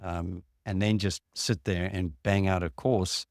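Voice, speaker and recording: A man hosting a podcast and explaining things in a measured, casual way, with frequent ums and uhs. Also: sits low, flat delivery, no echo, clean studio sound. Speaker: podcast host and clinician.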